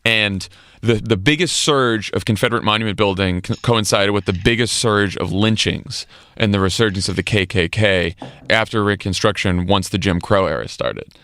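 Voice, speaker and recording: A man's voice: lowish voice, normal speed, fairly bright tone, smooth, no room sound. An American man co-hosting a podcast, giving casual political commentary in an emphatic, conversational way. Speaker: podcast co-host.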